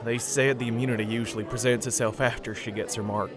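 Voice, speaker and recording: A man's voice: mid-range voice, steady tone, fast talker, background noise, close to an everyday voice. A man playing an easygoing, joking stallion worker and proud family man in casual audio drama banter. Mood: happy, proud.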